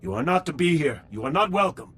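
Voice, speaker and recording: A man's voice: fairly high pitch, lively intonation, fast, a bit bright, breathy edge. A male actor playing a fierce, devout alien warrior in a video game, declaiming solemnly like a zealot. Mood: hostile, fanatical. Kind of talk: scripted game cutscene dialogue.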